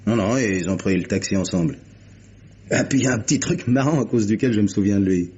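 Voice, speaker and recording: Radio drama night porter: slangy, folksy gossip, low voice, rapid patter, dulled old recording. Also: male voice, dark tone, husky. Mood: gossipy. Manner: folksy, hesitant, eager gossip. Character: chatty, slangy male hotel night clerk. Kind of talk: old radio mystery acting. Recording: muffled, hissy vintage radio recording.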